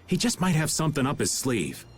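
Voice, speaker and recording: A man's voice: a little high, very animated, a little fast, soft background music. A man playing a roguish, easygoing middle-aged guild veteran in a video game, with a teasing, folksy manner. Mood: breezy, wry. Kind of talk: animated video game dubbing.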